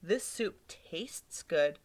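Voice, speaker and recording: A woman reading aloud and voicing a fussy little old woman, with a high, hushed, slow and wondering voice. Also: expressive, full character voice. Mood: marveling.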